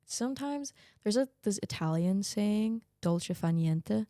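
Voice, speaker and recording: A woman's voice: medium pitch, measured pace, dry recording, studio quality. A young woman guest, a Danish native speaker, talking candidly and with animation in a casual interview. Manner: candid and animated, laughing often.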